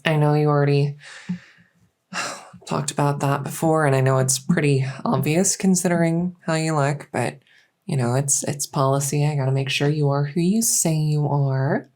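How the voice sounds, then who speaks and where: A woman playing a gentle, reassuring doctor in a soft-spoken medical roleplay, speaking hesitantly with frequent pauses. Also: sits low, fairly even intonation. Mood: friendly, reassuring. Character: gentle, reassuring female doctor in a fantasy transition clinic. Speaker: roleplay audio performer.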